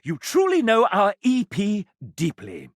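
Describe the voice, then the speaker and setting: Casting: flamboyant game-show gentleman for a video game, sardonic and pompous, highish pitch, hugely swooping delivery. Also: measured pace, dry recording, clean studio sound, exaggerated voice. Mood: showy, excited. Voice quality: animated theatrical delivery. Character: sassy, flamboyant gentleman host, pompous and playful. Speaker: game show style host and narrator. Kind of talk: theatrical video game narration.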